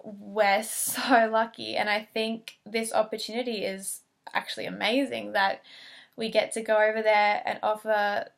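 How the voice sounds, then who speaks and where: A woman's voice: medium pitch, level tone, measured pace, gravelly. A young woman in her twenties, a speech pathology student, introducing herself earnestly in a casual video with frequent fillers and restarts.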